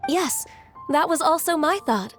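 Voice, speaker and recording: Gentle, high-voiced young assistant. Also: female voice, lively intonation, unhurried, soft background music. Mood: helpful. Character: gentle, diligent young half-beast woman secretary. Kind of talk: anime video game voice acting.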